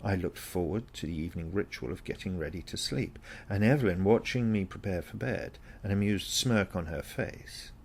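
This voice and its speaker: A man reading a scripted first-person story aloud in a measured, reflective manner with deliberate phrasing. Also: low-pitched, creaky, a little mellow, husky. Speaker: story reader.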